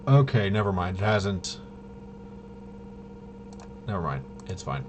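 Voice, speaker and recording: A fairly low-voiced man talks through a tabletop game at a slow pace. He is casual and halting, muttering to himself as he thinks aloud. There is clear noise behind his voice. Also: very animated, darkish tone. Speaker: tabletop RPG player and presenter.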